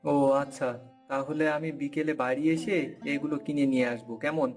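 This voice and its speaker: A good-natured village farmer acted by a man talks in a fairly high male voice. He is a humble, hard-working husband from a cartoon folk tale, with light music and birdsong behind him. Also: fairly even intonation, fairly quick, lightly acted. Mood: agreeable. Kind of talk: cartoon fairy-tale dialogue acting.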